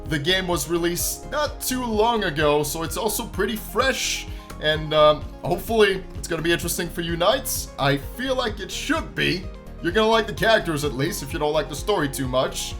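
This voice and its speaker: Animated higher-voiced man commentating a game. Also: moderate pace, soft background music. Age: 20s. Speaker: gaming channel host. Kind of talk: game playthrough commentary, reading story text aloud.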